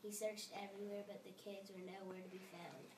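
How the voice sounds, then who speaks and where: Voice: medium pitch, little pitch movement, brisk, roomy sound, recorded from a distance, background noise. A young reader reading a children's bedtime story aloud.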